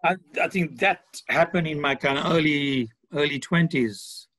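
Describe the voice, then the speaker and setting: A man's voice: on the high side, normal speed, a bit bright, no echo. Discursive man in his sixties, from India and Malawi. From born in India, grew up in Malawi. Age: 60s. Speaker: podcast guest, charity development manager. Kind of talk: conversational interview about own experience.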